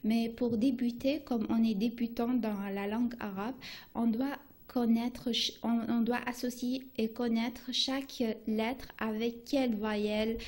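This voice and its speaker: Slow, mellow-voiced woman teaching Arabic. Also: on the high side, fairly even intonation. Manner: halting, many restarts and fillers. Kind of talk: beginner language lesson on radio.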